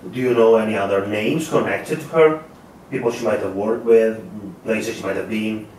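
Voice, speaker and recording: A man's voice: lowish voice, moderate pace, a little mellow, close to an everyday voice. A man playing a weary, guarded private eye in a table read of a crime thriller. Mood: probing, measured. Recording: roomy, distant mic with faint noise.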